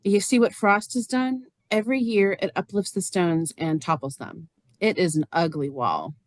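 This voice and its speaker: A woman's voice: fairly low pitch, moderate pace, no echo, lightly acted. A woman playing a plain-spoken, lonely, literal-minded farm neighbor in a stage reading, earnest and matter-of-fact. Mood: frustrated. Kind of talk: stage reader's theater acting.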